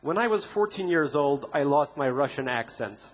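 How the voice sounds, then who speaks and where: A man's voice: on the high side, expressive, normal speed. A man reading aloud from his own memoir at a literature festival, with a dry, ironic delivery and comic timing. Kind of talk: reading aloud from a memoir, wry deadpan humor. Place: festival stage before an audience.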